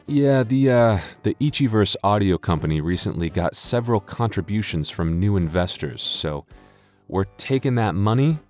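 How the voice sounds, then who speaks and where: A man's voice: fairly low pitch, expressive, moderate pace, darkish tone, faint music behind. A man chatting casually and jokingly to his listeners as an audio creator, hesitant and self-deprecating. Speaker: audio roleplay creator and voice actor.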